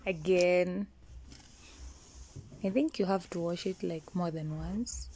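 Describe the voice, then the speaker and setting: A woman's voice: lowish voice, takes it slowly, dark tone, a little hiss or hum. A woman with a Kenyan accent chatting casually and playfully as she narrates a chore, switching languages mid-sentence. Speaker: vlogger. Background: clicking and water sounds.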